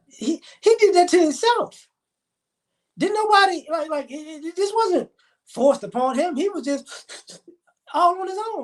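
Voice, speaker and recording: A man in his forties hosting a live stream, talking in a rambling, emphatic, slangy way. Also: very high-pitched. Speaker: livestream host. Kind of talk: casual live-stream commentary.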